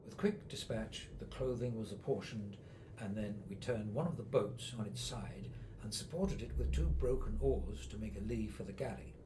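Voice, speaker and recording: A man's voice: fairly low pitch, normal speed, noisy background. A man reading a first-person expedition account as scripted narration.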